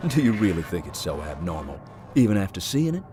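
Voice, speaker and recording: Calm, deep-voiced ghost hunter. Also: male voice, a little fast, noisy background. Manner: calm, matter-of-fact, dry. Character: calm young man who hunts ghosts. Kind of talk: visual novel voice acting.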